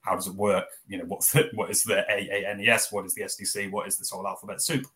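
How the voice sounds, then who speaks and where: Fast-talking low-voiced man. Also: flat delivery, bright, forceful tone. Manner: fast, rambling with many fillers. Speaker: interview guest, press office founder. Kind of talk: casual spoken interview.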